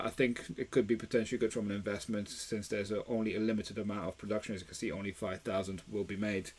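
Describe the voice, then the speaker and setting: A man's voice: fairly low pitch, darkish tone. A man from Belgium hosting a live stream about toy deals, talking casually with plenty of fillers and restarts. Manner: chatty, many fillers and restarts.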